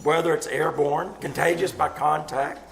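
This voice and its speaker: A man's voice: fairly high pitch, steady tone, moderate pace, vocal fry. An actor playing a skeptical, dismissive male scientist in a live old-time radio drama, with a wry manner. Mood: grave. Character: skeptical, dismissive male physiologist chatting with colleague. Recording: roomy live venue, loud crowd noise.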